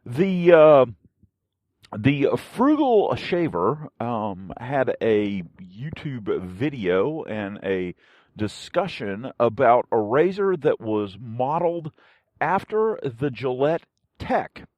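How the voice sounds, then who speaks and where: Man, podcast host, folksy, slow halting delivery, lively intonation, casual rambling review. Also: medium pitch, no echo, highs cut off. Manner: halting, pausing often mid-thought.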